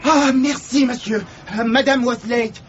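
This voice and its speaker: An actor playing a flustered, servile French train attendant in a radio drama, with a French accent. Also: male voice, very high-pitched, unhurried, soft background music. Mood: flustered, obliging. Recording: dull lo-fi recording, faint noise.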